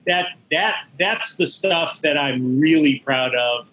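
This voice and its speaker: Bright-voiced man reminiscing, a bit high. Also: slow pace, rough recording. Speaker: interview guest, animation studio veteran. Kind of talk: conversational recollection.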